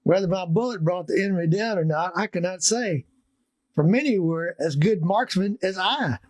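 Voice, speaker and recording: A man reads a first-hand historical account from a script. His voice is high, recorded dry without room echo. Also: normal speed, darkish tone.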